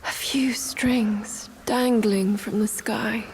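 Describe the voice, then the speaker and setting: A woman playing a wise, mysterious figure who speaks in hushed, measured tones in a game cutscene. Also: a little high, fairly even intonation, slight background noise. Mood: solemn.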